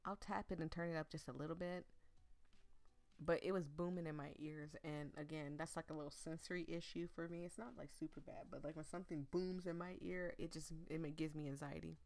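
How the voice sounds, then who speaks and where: A woman's voice: low voice, steady tone, brisk, gravelly, darkish tone. A woman hosting a let's play, chatting casually and directly to her viewers. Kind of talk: casual commentary and reading a visual novel aloud.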